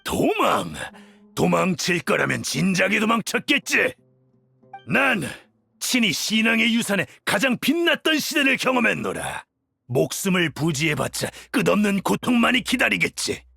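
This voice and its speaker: A proud zealot commander of the desert in a video game. His voice is high-strung and gravelly, swinging widely as he issues grandiose, archaic orders. Music plays behind him. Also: sing-song, moderate pace, sharp tone, dry recording, heavily acted. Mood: haughty, defiant. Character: fanatical, proud male desert cult commander. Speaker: voice actor in a game dub. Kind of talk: archaic, theatrical game villain acting.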